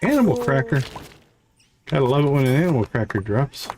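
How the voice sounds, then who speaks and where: A man's voice: mid-range voice, very animated, fairly quick, noisy background. A man giving casual, playful livestream commentary as he plays a video game, often muttering to himself. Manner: playful, talks to himself, chants little sound words.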